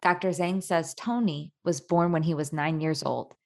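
A woman's voice: low-pitched, steady tone, normal speed, creaky. A woman reading scripted documentary narration about other people's personal stories.

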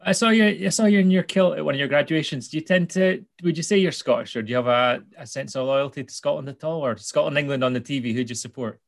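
A man's voice: a little high, quick pace, vocal fry, hardly any pauses. A man hosting a podcast, asking questions in a friendly, casual way. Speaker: podcast host, interviewer. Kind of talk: casual interview chat.

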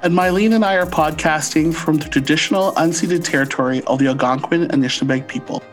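A man's voice: a little high, fast, soft background music. A man from Canada co-hosting a casual podcast, welcoming his guest with enthusiasm. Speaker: podcast co-host.

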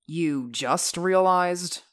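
A man voicing an affectionate young woman in an audiobook, raising his voice high and drawing out her words. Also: drawn-out words. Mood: fond. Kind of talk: audiobook character voice.

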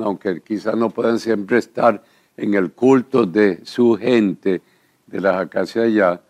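A man's voice: on the low side, darkish tone. An older man, a pastor, talking earnestly in a church program conversation.

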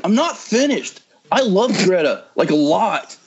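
Casting: hot-headed, lovesick young artist read by a man, highish lively voice, blunt, muffled internet call. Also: a little slow, a little hiss or hum, heavily acted. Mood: angry, sarcastic. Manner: blunt, sweary. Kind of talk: loose amateur table read of a screenplay.

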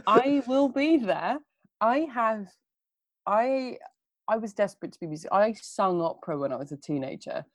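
A woman's voice: mid-range voice, lively intonation, normal speed, no room sound. A young woman chatting casually on a podcast, bubbly and self-mocking, often laughing at herself.

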